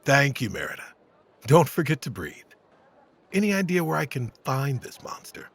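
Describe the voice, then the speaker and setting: Gravelly, courteous knight. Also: male voice, mid-range voice, wildly swooping pitch, normal speed, a bit bright, heavily acted. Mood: kind, patient. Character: courteous, reassuring veteran knight hero. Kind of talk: audio drama acting.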